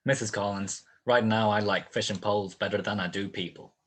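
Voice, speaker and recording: A man playing a world-weary, sardonic private detective in a 1940s-style radio play, with a wry, curt, deadpan delivery. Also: on the low side, fairly quick, close to an everyday voice. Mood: weary, reluctant. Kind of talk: 1940s detective radio play acting with first-person narration.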